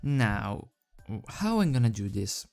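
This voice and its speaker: Slow, halting host over music. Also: medium pitch, sing-song, vocal fry, a little mellow. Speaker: video host and fan fiction reader. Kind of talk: casual rambling chat, then storybook narration. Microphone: desk microphone without pop filter. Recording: background music under the voice.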